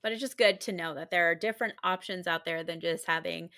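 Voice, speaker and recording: Bright, lowish-voiced woman hosting. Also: fast. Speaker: podcast host, interviewer. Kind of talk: casual chat.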